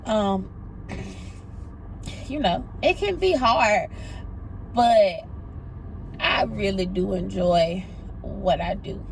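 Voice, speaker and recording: A young woman chats to her viewers in an unhurried drawl, her voice gravelly and a little stuffed up from a cold. Her delivery is slow and easygoing, and clear road and car noise runs underneath her talk. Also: medium pitch, low-quality recording. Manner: chatty, drawling, addresses viewers as y'all. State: congested, sniffling. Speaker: pediatric nurse and vlogger. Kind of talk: casual vlog chat. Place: car and errands.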